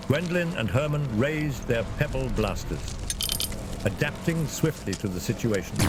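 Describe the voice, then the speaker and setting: A man narrating a scripted fantasy adventure in a dramatic storytelling style. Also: medium pitch. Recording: clear vehicle and ambience noise behind.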